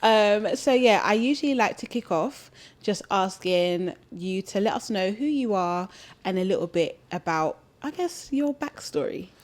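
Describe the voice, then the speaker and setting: A woman hosting a self-care podcast, warmly welcoming and complimenting her guest and asking questions. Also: mid-range voice, lively intonation, unhurried. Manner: warm, complimentary, encouraging. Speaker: podcast host. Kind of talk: friendly interview hosting.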